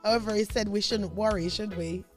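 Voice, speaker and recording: A woman with a medium-pitched voice hosts a radio interview. She talks casually and conversationally, affirming her guest as she goes. Faint music plays behind her. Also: steady tone, brisk. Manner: chuckles often, affirming, informal.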